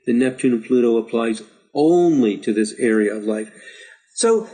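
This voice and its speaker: A middle-aged man who is losing his voice, patiently walking learners through a software chart tutorial and urging them to practice. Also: medium pitch, lively intonation, normal speed, slightly rough, low-quality recording. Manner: patient, repetitive, encouraging. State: slightly hoarse, losing his voice. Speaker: astrology teacher. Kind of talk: screen-share software tutorial.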